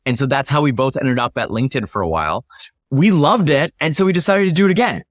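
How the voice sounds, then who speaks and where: A man's voice: mid-range voice, lively intonation, fast talker, no echo, clean studio sound. A man speaking as an interview guest, explaining his thinking in an emphatic, conversational way with rhetorical questions. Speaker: interview guest, startup founder.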